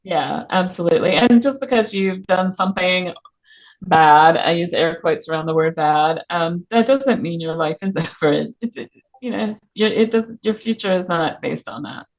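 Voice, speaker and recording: Muffled, lower-pitched woman in casual interview. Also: moderate pace. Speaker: author guest on a book podcast. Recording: muffled, band-limited audio.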